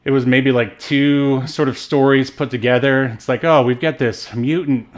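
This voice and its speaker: Wry, mid-pitched man chatting about film. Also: steady tone, moderate pace. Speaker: podcast co-host. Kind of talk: casual film discussion.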